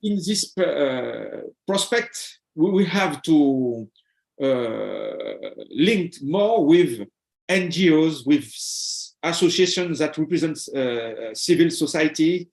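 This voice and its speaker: A man answers questions in a discussion. His voice is fairly high for a man and rings in an echoey room. He feels his way through sentences, pausing to find each word. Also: expressive, creaky, darkish tone. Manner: halting, searching for words. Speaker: panel guest.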